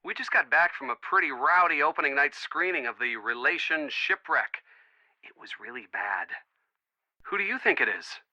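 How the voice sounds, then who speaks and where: An actor playing an earnest, wisecracking young American boyfriend, chatty and eager, in an adventure game. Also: male voice, a little high, lively intonation, moderate pace, bright, forceful tone, no room sound, dull audio. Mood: speculative. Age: young adult. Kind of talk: scripted adventure game dialogue.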